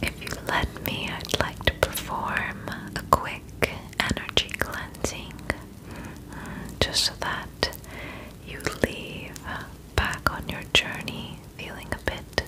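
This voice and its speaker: A woman in her twenties playing a friendly witch healer in an ASMR roleplay, whispering softly and soothingly close to the microphone. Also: natural voice, poor audio. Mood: soothing, calm. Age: 20s. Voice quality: soft breathy whisper. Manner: slow, gentle, soothing, unhurried. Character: gentle friendly young witch healer brewing potions. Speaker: ASMR performer. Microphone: close sensitive microphone.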